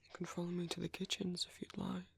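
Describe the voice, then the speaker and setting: A man with a British accent playing a gentle, caring vampire priest in a soft-spoken ASMR roleplay, hesitant, polite and reassuring in a half-whispered, breathy, gravelly voice. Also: high voice, completely flat delivery, fast talker, a little hiss or hum.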